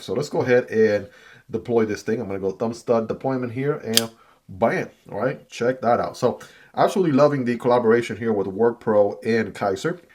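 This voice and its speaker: A man's voice: lowish voice, darkish tone. A man with Chinese as his first language giving a chatty knife unboxing and review, often adding "right?" as he goes. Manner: chatty, repeats "right?" and "I gotta tell you". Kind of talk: casual product review.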